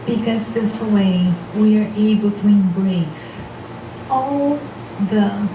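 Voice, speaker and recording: A woman whose first language is Portuguese, leading a spiritual seminar in English, speaking carefully and gently guiding her listeners. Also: mid-range voice. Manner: slow, careful, gently guiding, with frequent restarts. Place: meeting hall of a spiritist society. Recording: echoey hall, voice from far off, noisy.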